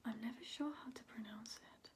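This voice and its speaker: A woman whispering in a breathy, unvoiced voice, chatting casually and hesitantly in an ASMR style as a video host. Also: on the high side, brisk, darkish tone, slight background noise. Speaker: video host showing a record collection.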